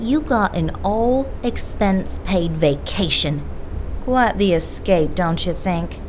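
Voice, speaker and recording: Woman acting slow-spoken, confrontational female investigator. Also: on the low side, lively intonation, a little mellow, a little hiss or hum, low-quality recording. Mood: indignant, mocking. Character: earnest, wounded female investigator, proud and confrontational partner. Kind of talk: naturalistic audio drama acting.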